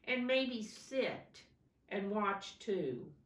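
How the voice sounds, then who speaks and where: A woman voicing a slow, grumpy but kindly male tortoise from a children's storybook, firm and weary. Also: mid-range voice, expressive, pitched above the performer's own voice. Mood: gentle. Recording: roomy echo, distant microphone.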